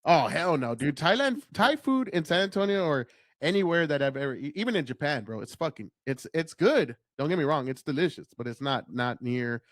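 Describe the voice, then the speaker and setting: Man, podcast guest, slightly high voice, dry close mic, swears freely, easygoing. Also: lively intonation, brisk, a bit bright, no room sound. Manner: loose, profane, says bro and dude a lot. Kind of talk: casual podcast chat.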